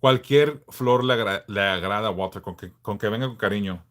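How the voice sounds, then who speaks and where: A man in his forties from Southern California, hosting a casual livestream and answering viewers in a frank, laid-back way with halting restarts. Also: lowish voice, a bit bright. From Los Angeles, California. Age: 40s. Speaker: livestream host.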